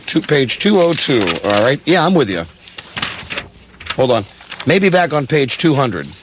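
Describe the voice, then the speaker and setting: A man's voice: on the high side, big pitch swings, unhurried, noisy background. An American man hosting a radio show with casual, rambling, teasing banter. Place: radio studio.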